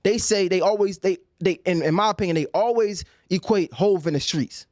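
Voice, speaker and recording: A man's voice: high-pitched, a little fast, darkish tone, dry recording, studio quality. A man in his thirties from Baltimore, talking in a heated, emphatic way on a podcast and repeating words as he presses his points. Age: 30s. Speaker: podcast guest, journalist. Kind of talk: heated casual conversation.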